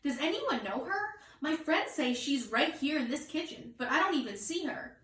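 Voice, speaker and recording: An exuberant little girl from a children's storybook, acted out by a woman reading aloud. She is bold and cheeky, pitched high, and repeats her words with great emphasis. The sound is roomy and a step away from the mic. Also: expressive, moderate pace, fairly bright tone. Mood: hollering, searching. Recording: roomy echo, distant mic.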